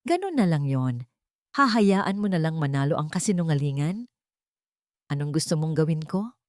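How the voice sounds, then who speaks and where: A woman's voice: low-pitched, very animated, normal speed, no room sound, clean studio sound. A woman voicing a determined, protective young man in an urgent, persuasive manner for an audio love story. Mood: challenging.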